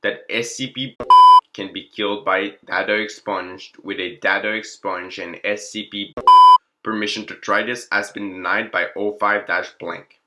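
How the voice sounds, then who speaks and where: A man's voice: lowish voice, steady tone, a little slow, sharp tone, rough recording. A man narrating a fictional classified document in a formal, clinical log-reading manner. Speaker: narrator and presenter of the channel.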